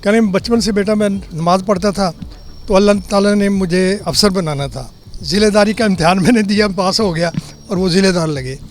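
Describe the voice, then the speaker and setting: A man in his eighties, born in Delhi and living in Lahore, recalls his childhood migration as an interviewee, telling it in an unhurried way with plain homely detail. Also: high voice, faint music behind. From born in Delhi, lives in Lahore. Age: 80s. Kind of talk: reminiscing eyewitness account.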